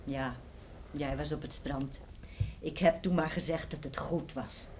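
An actress in a Dutch radio play, playing an anxious, quietly knowing middle-aged farm wife and mother, terse and wistful. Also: low-pitched, steady tone, unhurried. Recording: faint noise on radio recording.

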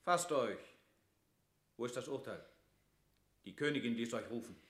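A commanding lord of the court played by a male actor with a bright, forceful edge to his voice. He is stern and demanding, in classical radio drama style with a roomy acoustic. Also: medium pitch, expressive, moderate pace, natural voice. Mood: urgent, demanding. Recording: roomy studio radio play sound.

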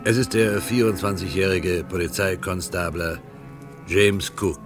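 A spooky radio play narrator, low voice setting the night scene, guitar music behind him. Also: male voice, fairly even intonation, measured pace. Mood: atmospheric, suspenseful. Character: male storyteller of a spooky comedy mystery. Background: plucked guitar music.

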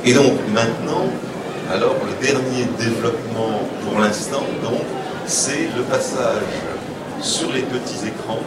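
A man's voice: medium pitch, unhurried. A man moderating an informal live discussion, with teasing interjections. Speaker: panel moderator. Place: public round table with audience. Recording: echoey distant recording, loud crowd noise.

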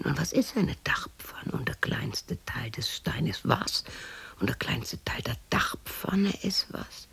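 An actress playing a lonely, embittered widow in her sixties, muttering erratically to herself in a husky, breathy radio play monologue. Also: extremely low pitch, full character voice. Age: 60s. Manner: muttering, brooding, erratic, halting. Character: lonely, embittered sixty-year-old widow talking to herself.

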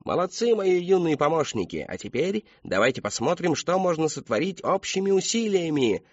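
A man voicing a fat children's party clown, shouting with exaggerated jolly showmanship and an edge of menace. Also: fairly high pitch, sing-song, normal speed, dry recording, dull audio, voice pushed up. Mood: rousing, hectoring.

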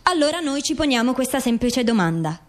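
A woman's voice: high voice, big pitch swings, brisk. A woman reading scripted narration for a documentary radio drama, formally and declaratively.

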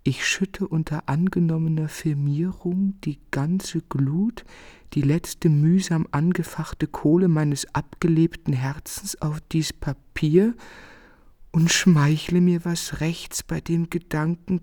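An actor playing a world-weary, melancholy artist in a literary radio drama, speaking eloquently and earnestly with theatrical phrasing. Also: male voice, a little high, fairly even intonation, takes it slowly, mellow. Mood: melancholy confession.

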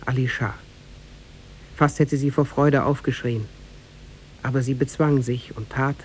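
A man narrating a tale in a scripted, measured and formal 1950s radio drama style. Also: medium pitch, darkish tone. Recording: noisy 1950s radio recording.

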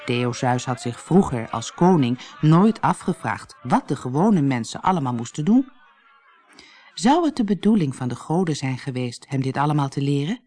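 A storyteller reading a scripted children's fairy tale in an expressive, dramatic way. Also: medium pitch, normal speed. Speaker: storyteller, audiobook narrator.

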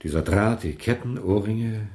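Crime radio play: man as probing detective sidekick, lowish pitch, terse colloquial questions. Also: normal speed, a little mellow, close to an everyday voice. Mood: questioning. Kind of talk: radio crime drama acting.

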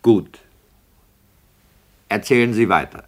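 An actor playing a sceptical radio play director, speaking in a formal, pedantic, critical manner. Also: male voice, fairly low pitch, slow pace, a bit bright. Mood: questioning, grudging. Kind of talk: classic radio play acting.

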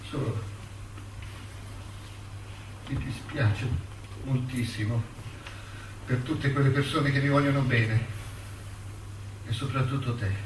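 Radio drama: wry, dignified male prisoner-intellectual, measured delivery, bright tone, echoey, slightly noisy recording. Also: a little high. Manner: measured, deliberate, with weighty pauses. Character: jailed intellectual man, dignified, wry, quietly defiant. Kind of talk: stage-style radio drama, letter and courtroom dialogue. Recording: roomy, distant, noisy theatre-like recording.

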